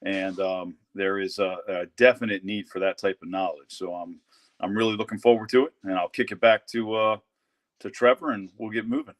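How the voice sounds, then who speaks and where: A man's voice: low-pitched, steady tone, moderate pace. A man, a Baltimore firefighter, introducing himself hesitantly on a webcast. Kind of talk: casual webcast chat.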